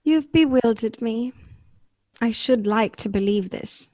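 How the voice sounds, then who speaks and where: An actress plays an idealistic young lady in a vintage-style radio play. Her voice is fairly high and very dry, earnest and questioning with an anxious concern. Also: unhurried, mellow, dry recording, lightly acted. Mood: wistful. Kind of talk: recreated 1930s radio drama.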